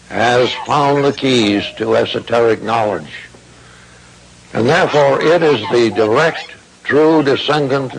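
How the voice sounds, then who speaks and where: A man delivering a formal esoteric lecture in a solemn, deliberate, grandiloquent manner. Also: medium pitch, creaky, low-quality recording.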